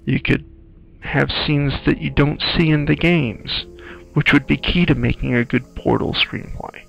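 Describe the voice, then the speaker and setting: A man giving casual playthrough commentary, explaining things in a halting, thoughtful way with game audio behind him. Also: fairly high pitch, vocal fry, muffled recording, soft background music. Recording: faint noise, game sounds behind. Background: video game audio.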